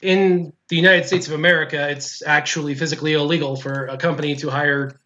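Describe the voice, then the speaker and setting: A man's voice: fairly high pitch, fairly even intonation, fast talker, sharp tone. A man hosting a casual gaming livestream, joking and opinionated, repeating himself as he talks through viewer questions with a guest. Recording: slightly dull, lo-fi stream audio.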